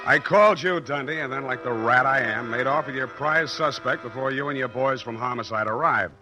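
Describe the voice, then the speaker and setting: A man's voice: medium pitch, fairly quick, over music, natural voice. Man acting a wry hard-boiled private eye. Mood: wry narration. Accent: American. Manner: dry, sardonic, hesitant with uhs. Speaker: actor playing the lead and narrator. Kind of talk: 1940s radio detective drama acting.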